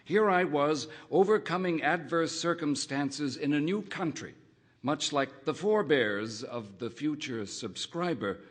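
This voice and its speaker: A man reading a first-person literary short story aloud, in a measured, wry narrating manner. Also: mid-range voice. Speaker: audiobook reader.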